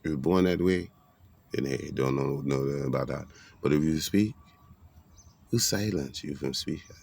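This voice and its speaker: A man's voice: very deep voice, moderate pace, vocal fry, darkish tone, a little hiss or hum. A man speaking in a rambling, preachy monologue, streetwise and casual, mixing everyday talk with biblical references. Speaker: vlogger giving a monologue.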